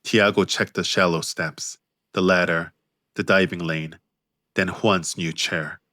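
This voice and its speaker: A man narrating his own audiobook in a measured, understated way. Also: low voice, fairly even intonation, pushed and bright, dry recording, studio quality. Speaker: author and narrator.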